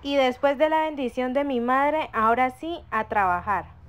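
A young woman from Colombia tells the story of her day in a relaxed, chatty voice-over. She speaks, her voice sits high, and soft music plays faintly underneath. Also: moderate pace. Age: young adult. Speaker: vlogger.

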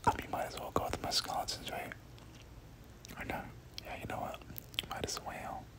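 Whispering ASMR gamer, breathy and unvoiced, prone to looping short phrases while narrating play. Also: moderate pace. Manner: repeats words and short phrases over and over. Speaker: gaming video host. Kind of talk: whispered ASMR game commentary.

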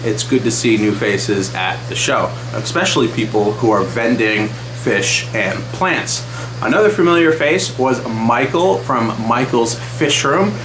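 A man's voice: mid-range voice, animated, unhurried, noisy background. Chatty man in an echoey room. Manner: chatty, enthusiastic, many fillers. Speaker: aquarium channel host. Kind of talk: casual vlog update. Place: small wood-paneled room indoors.

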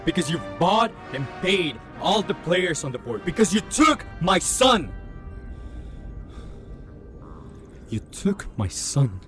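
A man's voice: fairly high pitch, very animated, unhurried, a bit bright, soft background music. A man playing a vengeful, grieving father and villain in an audio drama, with grandiose, menacing delivery. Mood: anguished, angry.

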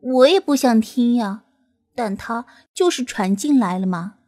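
Mischievous fantasy beast girl, acted by a woman narrator: goading, coy, animated, slightly husky. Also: a little high, measured pace. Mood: teasing. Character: mischievous, teasing female beast demon from Chinese fantasy.

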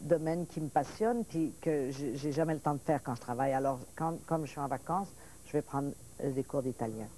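Brief: 60s woman from Paris, Quebec-tinged French; low, mellow guest, old VHS hiss. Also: a little fast, poor audio. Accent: mixed Parisian and Quebec French. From France. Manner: lively storyteller, restarts and laughs, points her words. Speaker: actress guest. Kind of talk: TV talk-show interview. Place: TV studio set, seated at table.

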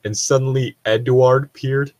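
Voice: medium pitch, steady tone, moderate pace, a little mellow. A person reading fan fiction aloud and joking about it in a sweary, mock-exasperated way. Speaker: reader and commentator.

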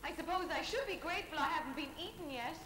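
A young woman plays a sweet pantomime maiden held captive, very high in pitch and earnestly projected. The theatre stage is echoey. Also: lively intonation, fast, heavy background noise. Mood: plaintive, lonely. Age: young adult.

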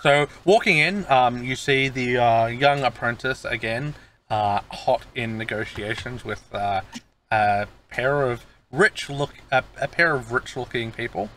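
A man narrating as game master of a tabletop game, halting with many fillers. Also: medium pitch, fairly bright tone, slight background noise.

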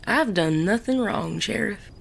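An actor playing a suave, teasing male gentleman bandit in a Western audio drama, genteel and wry. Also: mid-range voice, expressive, unhurried, gravelly, heavily acted. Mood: mock-polite. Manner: genteel, wry, polite wording.

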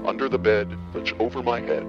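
A man's voice: mid-range voice, expressive, a little fast, close to an everyday voice. A man playing a talk show announcer giving a broadcast introduction. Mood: announcing. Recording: dull lo-fi broadcast with music.

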